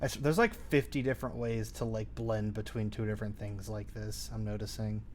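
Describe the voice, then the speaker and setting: Laid-back chatting young man, slightly low. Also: fairly even intonation, brisk, a little mellow. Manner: informal, hedging with "like". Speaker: streamer programming a game. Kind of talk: casual chat. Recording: faint background noise.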